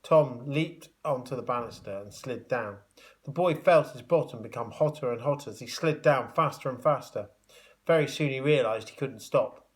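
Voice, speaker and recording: A man's voice: medium pitch, moderate pace. Man reading a children's story expressively. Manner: expressive, dramatic emphasis. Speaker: primary school teacher reading aloud. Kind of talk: animated children's storybook narration.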